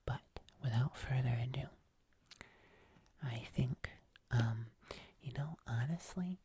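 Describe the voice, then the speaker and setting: A woman voicing a gentle, nervous fuzzy monster in a breathy, hesitant ASMR whisper. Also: a bass voice, a little hiss or hum. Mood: careful, mildly nervous. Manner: slow, soft, hesitant, with small pauses. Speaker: ASMR host.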